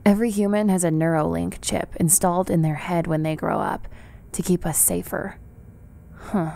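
A woman's voice: lowish voice, steady tone, normal speed, creaky, warm tone, slight background noise. An actress playing a wary, defiant young rebel woman, acting and narrating in a cyberpunk radio drama. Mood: dry, guarded. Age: young adult.

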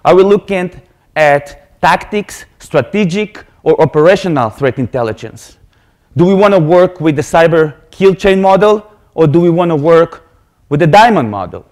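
A man's voice: fairly high pitch, animated, moderate pace, darkish tone. A man in his thirties from Israel with an Israeli accent, giving an earnest, explanatory technical talk from a lecture hall stage into a podium microphone. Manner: earnest, explanatory, repeats words when restarting. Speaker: conference speaker.

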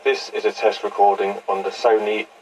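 A distant recording of a man with a fairly low voice. He speaks in a measured, matter-of-fact way, as in a scripted equipment demonstration. Faint noise sits on the reel-to-reel tape recording. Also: flat delivery. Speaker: presenter demonstrating vintage audio equipment. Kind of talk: scripted test recording and product narration.

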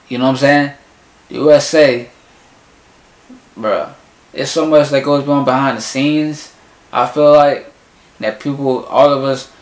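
A young man in his twenties gives animated, slangy commentary as he reacts to a rap video from his bedroom. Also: mid-range voice. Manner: animated, slangy, talks back to the song. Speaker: music reaction youtuber. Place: small bedroom in front of a closet door. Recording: echoey room.

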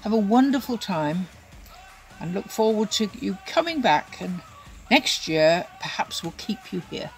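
A woman's voice: mid-range voice, animated, measured pace, poor audio. Older woman, chatty phone birthday message. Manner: chatty, fond. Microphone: phone camera.

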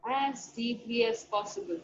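Slow, soothing woman guiding a meditation. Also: on the high side, a little hiss or hum. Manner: soft, slow instructions with long pauses. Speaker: meditation guide. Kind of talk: guided meditation. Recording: dull lo-fi recording with faint music. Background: soft background music.

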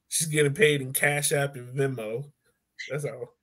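A man's voice: medium pitch, steady tone, takes it slowly, fairly bright tone. A young man chatting casually and jokingly on a sports podcast, with informal slangy phrasing, from home on a video call. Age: young adult. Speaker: podcast host.

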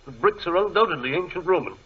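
A dull old radio recording of a British gentleman archaeologist. He is nervous and fussy, stammering and repeating himself in a husky, fairly high voice. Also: animated, quick pace. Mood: agitated. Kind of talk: 1940s radio acting.

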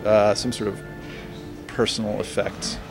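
A man around forty, a painter, talking in a casual, halting and thoughtful interview way about his work. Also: fairly low pitch, little pitch movement, vocal fry. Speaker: painter and art teacher. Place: busy restaurant during an art reception. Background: music.

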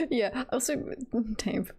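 A woman's voice: sits high, darkish tone. A woman chatting casually on a livestream in a giggly, playful, rambling way. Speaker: livestreamer, virtual avatar host.

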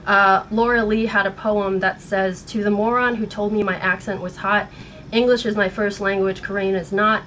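An American woman in her thirties speaking in an earnest, halting way, upset and shaken, as in a personal vlog. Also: mid-range voice, fairly even intonation, fairly bright tone, low-quality recording. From United States. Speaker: vlogger. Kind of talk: personal video blog, partly reading aloud. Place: small bedroom at home. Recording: noticeable background room noise.

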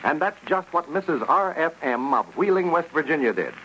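A man's voice: on the high side, sing-song, fairly quick, pushed and bright. A man announcing in old-time radio style, with a polished, cheerful scripted sales delivery. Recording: muffled, noisy vintage radio recording.

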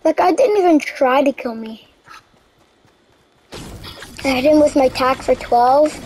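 A young woman casually commenting on her own gameplay, relaxed and a bit tired. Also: unhurried, slight background noise, rough recording. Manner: relaxed, low-key narration of play, says she is tired. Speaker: gaming video creator.

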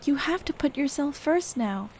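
A woman playing a tender, playful late girlfriend in an ASMR roleplay, speaking in a gentle, coaxing, teasing way. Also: high voice, animated, moderate pace. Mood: wistful, gentle. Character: tender, playful dead girlfriend comforting her grieving partner. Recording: clear ambient noise behind. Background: ambient sound effects.

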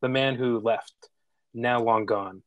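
A man's voice: medium pitch, fairly even intonation, slow, dry recording, highs cut off. A man reading out the action lines of a film script as narrator at a table read.

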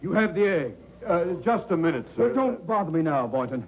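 An actor playing a blustering, pompous middle-aged school principal in a 1950s sitcom, with a commanding theatrical manner. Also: male voice, on the high side, very animated, fairly quick. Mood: gloating, bossy. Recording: muffled, hissy 1950s television soundtrack.

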